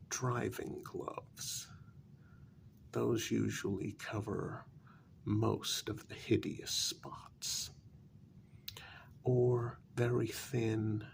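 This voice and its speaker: A man playing a jaded, irritable vampire in a soft-spoken ASMR role-play, with weary sarcasm and a gravelly, half-whispered voice. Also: lowish voice, a little hiss or hum, exaggerated voice. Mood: matter-of-fact, resigned. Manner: slow, deliberate, weary sarcasm. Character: jaded, irritable male vampire hiding a hideous face.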